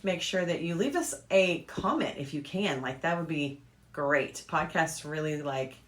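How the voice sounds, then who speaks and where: A woman's voice: low voice, expressive, unhurried. A woman co-hosting a podcast in a casual, chatty style. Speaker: podcast co-host. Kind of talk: casual chat.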